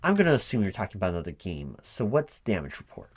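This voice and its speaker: Low-voiced man interviewing, keyboard typing behind. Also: sing-song, slight background noise. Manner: halting, puzzled questioning, checking back with "right?". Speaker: job interviewer, recruiting manager in a roleplay. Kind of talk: one-sided spoken ASMR interview roleplay. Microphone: close microphone with typing sounds behind. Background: computer keyboard typing.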